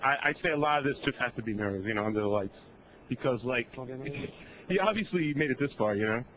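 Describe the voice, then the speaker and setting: A man's voice: mid-range voice, expressive, fairly quick, a bit bright, slight background noise. A man giving joking color commentary on a card game, wisecracking and often stammering into his points, on a slightly dull broadcast recording.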